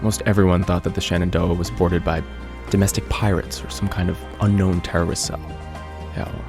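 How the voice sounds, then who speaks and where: Earnest radio host, low gravelly voice, confessional mystery podcast narration over music. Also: male voice. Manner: earnest, measured, conversational storytelling. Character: earnest male island radio host recounting an eerie unsolved mystery. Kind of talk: scripted audio drama narration, confessional podcast.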